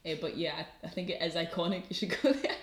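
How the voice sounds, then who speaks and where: A woman's voice: lowish voice, fast, reverberant. A young woman with a light Scottish accent, chatting as a student guest on a podcast, laughing often and using lots of fillers. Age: young adult. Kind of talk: casual podcast chat.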